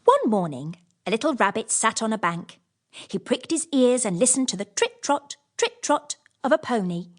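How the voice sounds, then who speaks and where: A woman narrating a children's audiobook as scripted storytelling, in an expressive manner. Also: on the high side, moderate pace.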